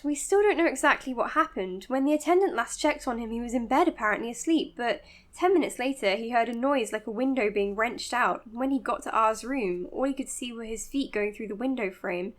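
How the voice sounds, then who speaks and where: An actress as an overworked woman doctor in an audio drama, with a fairly high voice. She is formal and earnest, choosing her words precisely and quick to blame herself. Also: expressive, close to an everyday voice. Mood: anxious, self-blaming. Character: overworked, self-blaming woman doctor running an asylum. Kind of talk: naturalistic audio drama, dictated case notes and dialogue.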